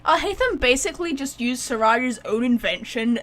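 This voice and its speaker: A woman giving casual, slangy gameplay commentary, reacting to cutscenes in an excitable, exclamatory way. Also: on the high side, moderate pace. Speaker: gamer reacting to game cutscenes.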